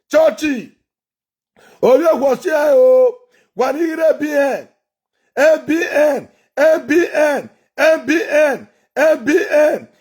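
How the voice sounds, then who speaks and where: A man, ranting loudly and repeating short chanted phrases over and over. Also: very high-pitched. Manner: repetitive, shouting chants. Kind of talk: shouted rant.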